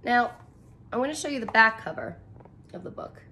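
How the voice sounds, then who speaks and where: A woman's voice: on the high side, expressive, normal speed, bright, forceful tone, a little hiss or hum. A woman in her thirties, a teacher reading a picture book aloud and explaining it expressively in simple phrases for young children. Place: small furnished room indoors.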